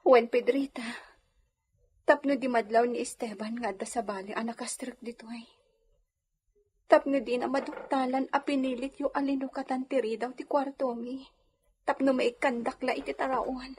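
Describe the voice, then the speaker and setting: A woman's voice: high-pitched, fairly even intonation, moderate pace, darkish tone, a little hiss or hum, natural voice. A woman playing an oppressed, submissive young wife in a radio drama, secretive and pleading in manner. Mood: secretive, urgent.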